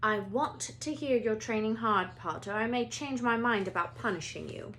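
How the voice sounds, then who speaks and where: A woman reading a storybook, voicing a stern older female schoolteacher in a crisp, commanding manner. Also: fairly high pitch, normal speed, fairly bright tone, slight background noise, close to an everyday voice.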